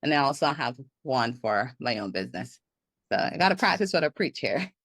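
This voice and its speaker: Guest consultant, woman from Barbados, animated and laughing, dry close-miked sound. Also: extremely low pitch, fairly quick, breathy edge, no echo. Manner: animated, laughs often, acts out her own inner thoughts. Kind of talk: casual interview talk.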